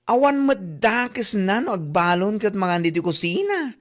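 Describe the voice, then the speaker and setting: A woman's voice: mid-range voice, sing-song, moderate pace, lightly acted. Woman doing a catty gossipy ilocano coworker, comedy drama, voice swooping all over. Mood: mocking gossip. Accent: Filipino, Ilocano. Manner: exaggerated, gossiping. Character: gossipy, mocking female coworker.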